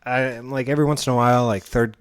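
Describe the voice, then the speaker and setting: A man's voice: medium pitch, fairly even intonation, fast talker, clear, resonant tone, no room sound. Man joking dryly in casual podcast chat. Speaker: podcast co-host. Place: indoor room shared with co-host.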